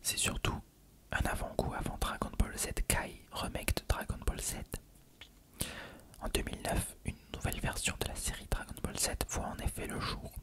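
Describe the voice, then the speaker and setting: A person reading an encyclopedia article aloud for ASMR in a breathy, unvoiced whisper. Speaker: ASMR reader.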